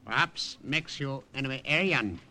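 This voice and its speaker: Sharp, officious army captain. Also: male voice, medium pitch, animated, moderate pace. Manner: clipped, commanding, broken English. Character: stern Japanese army captain, officious and suspicious. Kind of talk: 1950s radio drama acting. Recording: noisy old radio recording.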